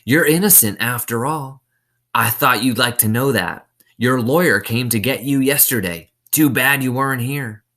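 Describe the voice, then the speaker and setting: A man's voice: mid-range voice, moderate pace, fairly bright tone, pitched above the performer's own voice, lightly acted. A man voicing a callous, mocking male camp boss in a storybook, taunting as he talks.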